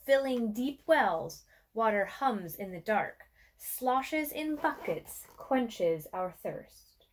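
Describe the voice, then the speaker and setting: A woman in her thirties reading aloud to children as an educator, in an expressive storytime style with measured, deliberate phrases and pauses. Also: fairly high pitch, a little hiss or hum, poor audio. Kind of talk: expressive children's picture-book read-aloud. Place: small furnished room with armchair and bookshelf, indoors.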